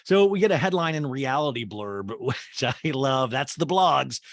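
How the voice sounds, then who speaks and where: A man's voice: medium pitch, lively intonation, moderate pace, no echo, studio quality. An American man hosting a podcast, giving a casual comic recap in a rambling, self-interrupting way. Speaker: podcast host.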